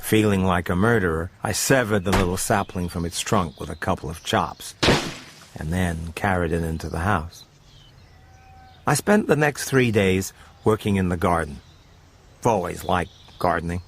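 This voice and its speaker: Low-voiced man narrating over music. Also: normal speed, background noise. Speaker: narrator and presenter of radio stories. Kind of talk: scripted dramatic story narration.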